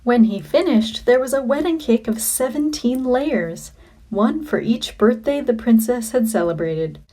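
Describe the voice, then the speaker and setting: A woman reading a children's story like a teacher, with expressive, deliberate phrasing. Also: on the high side.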